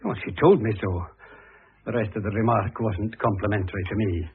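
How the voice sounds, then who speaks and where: An actor playing a proud, aggrieved older husband in a radio drama, speaking formally with some hesitation. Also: male voice, fairly low pitch, brisk, lightly acted. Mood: rueful. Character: proud, aggrieved older husband seeking comfort from his ex-wife. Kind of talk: old radio drama acting. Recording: tinny, noisy old radio recording.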